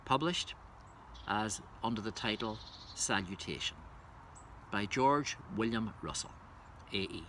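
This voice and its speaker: A man speaking as a poetry reader, introducing and reciting verse in a measured way. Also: lowish voice, fairly bright tone, a little hiss or hum.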